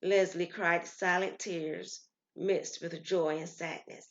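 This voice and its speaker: A woman's voice: fairly low pitch, steady tone, slow pace. Slightly muffled woman storyteller. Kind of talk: scripted story narration.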